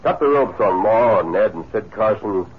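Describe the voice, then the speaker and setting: An actor playing a calm, stern, commanding masked lawman in a 1950s radio western. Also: lowish voice, moderate pace, lightly acted. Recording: muffled, faintly noisy 1950s radio recording.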